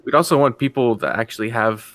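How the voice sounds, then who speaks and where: A man's voice: mid-range voice, fast, fairly bright tone, dry recording. Hesitant man, dry close sound. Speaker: co-producer who makes music. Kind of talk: casual brainstorming chat.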